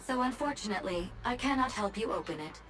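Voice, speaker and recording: A woman voicing a polite, composed AI assistant in a game, formal and measured. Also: fairly high pitch, expressive, music in the background, lightly acted, low-quality recording. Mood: calm, formal. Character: polite, composed female AI assistant serving her master.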